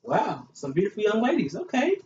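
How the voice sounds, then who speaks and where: Man as chatty daytime talk show host, high-pitched, gushing, hissy lo-fi recording. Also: huge pitch swings, brisk, darkish tone. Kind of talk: hosting a staged student-made talk show. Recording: echoey, muffled room recording with hiss.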